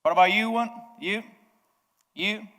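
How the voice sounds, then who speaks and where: A man's voice: high voice, measured pace, sharp tone. A male pastor whose first language is Spanish, preaching a sermon in a conversational way, speaking directly to the congregation and often restarting his sentences. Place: church service. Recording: echoey hall.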